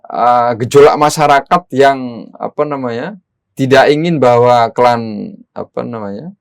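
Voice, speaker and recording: A man's voice: mid-range voice, measured pace, creaky, clean tone, no echo. A man giving analytical political commentary as a guest, explaining things in formal, academic vocabulary.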